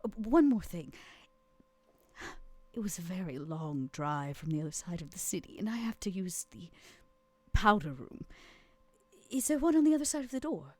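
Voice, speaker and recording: A woman playing a polite, genteel, sly lady in a tabletop game, soft-spoken with old-fashioned manners. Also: fairly low pitch, sing-song, moderate pace, long pauses, dry recording. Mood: apologetic, sweet.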